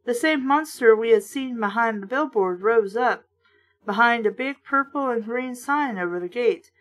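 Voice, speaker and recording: High-voiced woman reading aloud. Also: moderate pace. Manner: sardonic, blunt, swearing asides. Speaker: host reading a children's horror book aloud with commentary. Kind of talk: storybook narration with casual asides.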